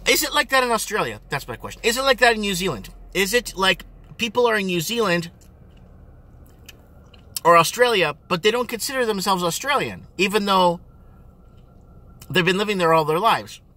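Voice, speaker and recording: A middle-aged man, rambling casually to viewers in an animated way and repeating his questions emphatically. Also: high voice, normal speed, pauses often. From Ontario, Canada. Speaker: vlogger. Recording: engine and road noise in car.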